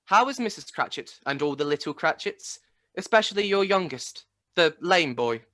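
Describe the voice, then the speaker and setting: A man acts as a genial gentleman nephew in a radio drama. His voice is bright and a little high, with a hearty, friendly cheer. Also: animated, normal speed. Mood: cheerful. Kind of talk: radio play acting.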